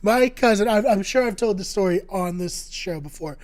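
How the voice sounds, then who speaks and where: A man co-hosting a casual video game podcast, his voice going hoarse, talking in an upbeat, jokey way with rambling anecdotes. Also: sits high, moderate pace, darkish tone. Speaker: podcast co-host. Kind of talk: casual chat.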